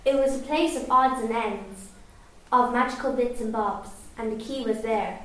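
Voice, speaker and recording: A woman with an Irish accent reading a story aloud in a lively storyteller's way. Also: fairly high pitch, moderate pace. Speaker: narrator. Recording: very echoey room, far from mic, faint noise.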